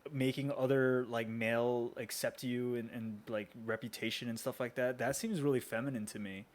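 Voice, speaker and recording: A man's voice: medium pitch, fairly even intonation, normal speed. An American man chatting casually as a co-host, loose and informal, with many filler words. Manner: informal, hesitant phrasing peppered with "like". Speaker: podcast co-host. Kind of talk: casual chat.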